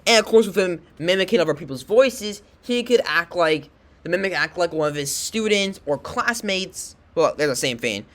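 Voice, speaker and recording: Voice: mid-range voice, lively intonation, moderate pace, fairly bright tone. A host giving casual video commentary in a rambling way, often repeating and self-correcting.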